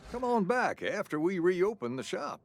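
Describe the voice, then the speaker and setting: A man's voice: high-pitched, big pitch swings, moderate pace, gravelly, a little hiss or hum, heavily acted. A man playing a friendly, folksy shopkeeper in a video game, genial and grateful in manner. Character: friendly grateful townsman running a shop. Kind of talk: video game character acting.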